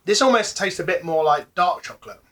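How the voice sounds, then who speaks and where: A man's voice: sits high, very animated, brisk, a bit bright. An English man in his thirties, co-hosting a casual chat in a living room, with a dry, wry humour. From England. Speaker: co-host. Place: living room with a wall map behind.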